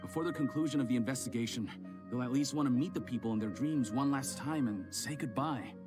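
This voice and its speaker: A man's voice: mid-range voice, fairly quick, over music, natural voice. A man voicing a grieving townsman in a game who speaks in a hesitant, pleading way. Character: grieving townsman, reluctant dreamer.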